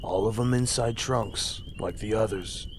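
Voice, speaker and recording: A man playing a conspiratorial logger in an audio horror drama, talking in a low, confiding way. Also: fairly even intonation, a little slow, a little hiss or hum, lightly acted. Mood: hushed, grim. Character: male logger, conspiratorial crew mate sharing grim rumours.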